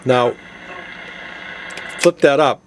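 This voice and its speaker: Man demonstrating gear over clear background noise. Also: medium pitch, very slow. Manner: plain-spoken, explains step by step. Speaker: product demonstrator, CB radio gadget seller. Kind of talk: casual hands-on product demonstration.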